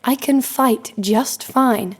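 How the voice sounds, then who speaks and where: A woman's voice: on the high side, slow, breathy edge, heavily acted. A woman playing a hot-tempered young female fighter in an audio drama, blunt and sarcastic. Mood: defiant, irritated. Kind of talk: first-person audio drama narration and dialogue.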